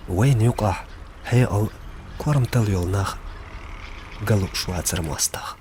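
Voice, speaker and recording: A man voicing a grave, solemn narrator figure in an animated film, speaking in short phrases. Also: fairly low pitch, slow pace, slight background noise. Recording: voice under loud background music.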